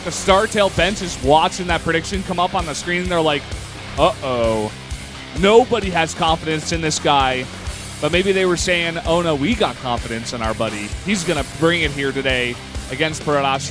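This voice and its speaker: A young man giving color commentary on a strategy game, laid-back and analytical, chuckling often. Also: fairly high pitch. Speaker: esports co-caster. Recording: commentary over loud game music.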